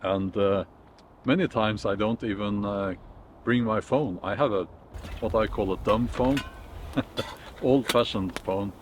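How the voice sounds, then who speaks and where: A middle-aged Norwegian man talking casually to camera outdoors in the woods, unhurried and thoughtful, pausing with many fillers and restarts. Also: on the low side, slight background noise. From Norway. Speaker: vlogger.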